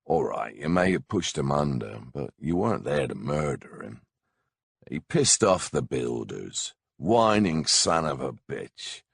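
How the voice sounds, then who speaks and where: A man reads a memoir in an extremely low, gravel-filled voice thick with vocal fry. He takes his time, sounding laid-back and wryly blunt, as if telling stories across a table. The sound is close and dry. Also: sing-song, a little slow, no room sound. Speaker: audiobook narrator. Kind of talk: memoir audiobook narration in a conversational, storytelling way.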